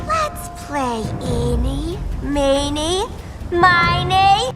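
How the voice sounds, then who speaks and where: A woman's voice: high voice, sing-song, extremely slow, heavily acted. A woman playing a manic, childish villainess with a sing-song, slangy delivery in a video game cutscene. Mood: manic glee. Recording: loud music over the voice.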